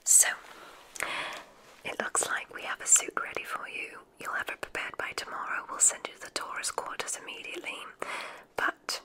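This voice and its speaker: A woman playing a gracious, whimsical witch who runs a magic school, in a genteel, breathy ASMR whisper. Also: natural voice, poor audio. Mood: warm, cordial. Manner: genteel, unhurried, welcoming. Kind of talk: ASMR medical roleplay.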